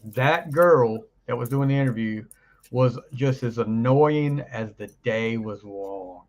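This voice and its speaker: A man co-hosting a casual podcast, rambling and laughing, his voice deeper than usual after the flu. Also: takes it slowly, a little mellow, highs cut off. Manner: rambling, laughs often, trails off mid-sentence. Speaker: podcast co-host. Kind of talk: casual chat.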